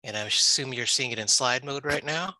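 Bright-voiced man presenting on a call. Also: mid-range voice, a little fast, no room sound. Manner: chatty, frequent fillers and restarts. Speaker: library staff presenter. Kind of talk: casual slide presentation on a video call.

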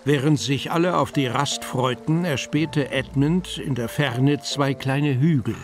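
A man's voice: medium pitch, a little slow. A man narrating a children's story from a script as part of an audio drama. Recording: music under the voice.

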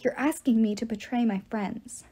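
A woman's voice: a little high, moderate pace, dark tone, slightly rough. A woman voicing an earnest teenage girl in an audiobook, defiant and emotional, pleading and challenging as she speaks. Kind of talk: audiobook character voice.

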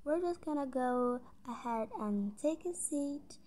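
A woman with a very high voice narrates a roleplay story. She is chatty and informal, rambling along as she explains the scene. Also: animated, slow, warm tone.

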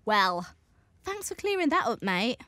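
A woman's voice: a little high, sing-song, measured pace, sharp tone, a little hiss or hum. Teasing young woman host. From Birmingham, England. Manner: teasing, playful. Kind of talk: scripted presenting and casual chat. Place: indoor living room set.

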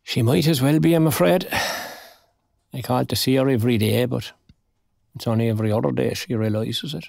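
A man's voice: mid-range voice, a little fast, gravelly, a little mellow, dry recording. An actor playing a lonely, wry retired man in his sixties, with an Irish accent and a dry, wistful, understated manner in a naturalistic audio drama. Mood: wistful, resigned. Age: 60s.

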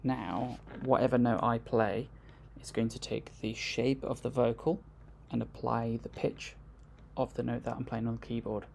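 A man's voice: mid-range voice, flat delivery, normal speed, vocal fry, very noisy. A man presenting a music production tutorial in a casual, step-by-step way. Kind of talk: casual screen-recorded software tutorial.

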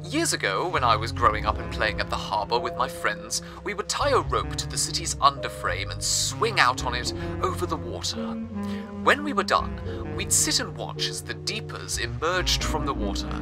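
A man hosting a radio show, telling a story in scripted, wordy, measured narration. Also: medium pitch. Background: music.

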